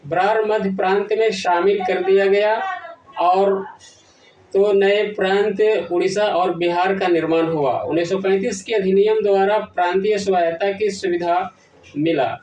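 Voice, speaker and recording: Echoey and distant recording, high-voiced male presenter, formal scripted lesson, halting read-aloud phrasing. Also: steady tone, darkish tone, a little hiss or hum. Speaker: presenter of an educational history channel. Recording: echoey room, distant from the mic.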